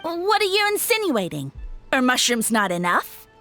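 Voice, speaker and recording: A woman's voice: high voice, very animated, moderate pace, bright, forceful tone, faint music behind, exaggerated voice. A woman voicing a smug, boastful little male mushroom creature in a video game, with a pompous, theatrical, taunting delivery. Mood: pompous, persuasive.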